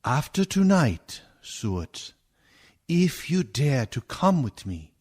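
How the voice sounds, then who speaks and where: Man playing a slow, commanding vampire hunter. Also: on the high side, sing-song, darkish tone, husky, exaggerated voice. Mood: probing, intense. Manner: emphatic, rhetorical questioning. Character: grave, commanding, knowing older doctor and vampire hunter. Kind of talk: dramatic radio play acting.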